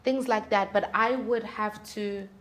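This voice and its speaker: A woman's voice: mid-range voice, fairly even intonation, a little slow, fairly bright tone. A woman, speaking as a musician guest in candid, conversational interview storytelling. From South Africa.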